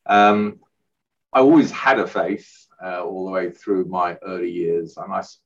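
A middle-aged man from Northern Ireland answering interview questions, hesitant, with many pauses and restarts. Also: low voice, distant mic, lo-fi sound. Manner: hesitant, lots of uhs and restarts. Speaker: guest, charity chief executive.

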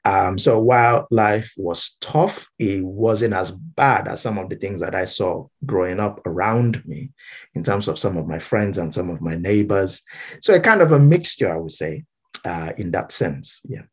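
A man from Nigeria with a Nigerian accent, speaking reflectively as an interview guest in measured, halting, explanatory phrases. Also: deep voice, lively intonation, breathy edge. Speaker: interview guest, Baptist minister.

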